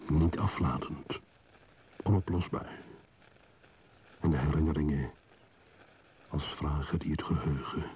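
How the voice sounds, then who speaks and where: An actor playing a solitary, brooding male wanderer who narrates in a solemn, measured, poetic radio play style. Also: very deep voice, steady tone, a little mellow, husky, exaggerated voice.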